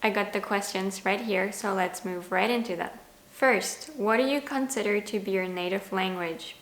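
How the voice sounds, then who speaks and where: A woman's voice: mid-range voice, moderate pace, echoey room. A woman in her twenties from Germany with a German accent, chatting candidly and self-deprecatingly to camera about learning languages. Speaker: language vlogger. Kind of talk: casual talking-to-camera vlog.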